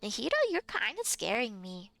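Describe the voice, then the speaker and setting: A woman's voice: mid-range voice, big pitch swings, moderate pace, gravelly, a bit bright, poor audio. A woman streaming a game, making joking, wry comments and laughing often. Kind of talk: casual reactions over gameplay. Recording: with game music behind.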